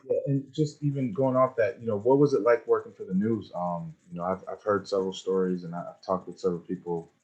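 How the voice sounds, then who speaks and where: A man's voice: lowish voice, fairly even intonation, quick pace, few pauses, husky. A man asking a guest questions in a hesitant way, with many fillers. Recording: dull lo-fi audio.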